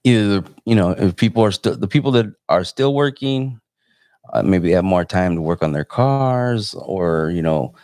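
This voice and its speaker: A low-voiced man in his forties hosts a live interview and talks in an easygoing, rambling way. He comes from San Jose, California. His voice is dry and close, with no room sound. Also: animated, normal speed, darkish tone, no echo. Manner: laid-back, meandering. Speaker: host.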